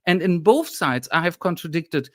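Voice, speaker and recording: A historian with a German accent argues his point in an emphatic, lecturing way, now and then indignant. His voice sits on the high side for a man. The sound is dry and close to the mic. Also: animated, moderate pace, no echo. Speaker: historian guest. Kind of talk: impassioned political discussion.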